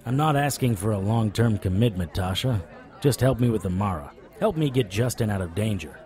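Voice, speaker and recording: An actor playing a stubborn, wisecracking supernatural private investigator in an audio drama, wry, flirtatious and persuasive. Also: male voice, on the low side, lively intonation, brisk, darkish tone, some chatter behind, natural voice. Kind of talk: audio drama acting with noir internal monologue.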